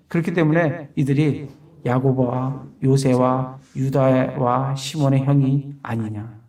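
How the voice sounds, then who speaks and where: A man's voice: medium pitch, dark tone, far from the mic. A man preaching a sermon as pastor, explaining a passage verse by verse and asking rhetorical questions.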